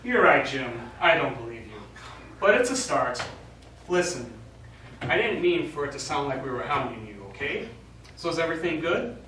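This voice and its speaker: An actor playing an eager, dreamy American astronaut in a stage play, with a dramatic and emphatic delivery. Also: male voice, mid-range voice, sing-song, moderate pace, sharp tone. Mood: reassuring. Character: eager American male astronaut, dreamy, joking mission leader. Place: small studio theatre, recorded from afar. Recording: very echoey, noisy, distant stage recording.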